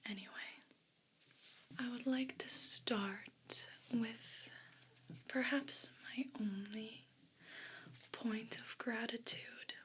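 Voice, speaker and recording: A woman's voice: fairly high pitch, background noise. A woman voicing a haughty, aggrieved evil pet cat in a whispered ASMR role play, speaking in pompous, formal, disdainful phrases.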